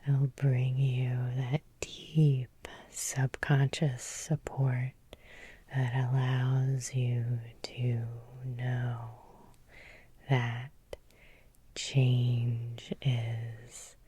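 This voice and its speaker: A woman leading an ASMR guided hypnosis in a breathy whisper, soothing and repetitive, with lingering pauses. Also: a bass voice, flat delivery, dark tone, no echo. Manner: slow, soothing, repeating phrases with lingering pauses. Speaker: hypnotherapist and Reiki healer leading a guided meditation.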